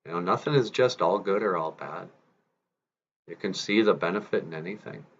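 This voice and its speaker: A man's voice: sits low, normal speed, lo-fi sound. A man voicing a wise, admonishing Great Spirit in a folktale. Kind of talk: animal folktale storytelling.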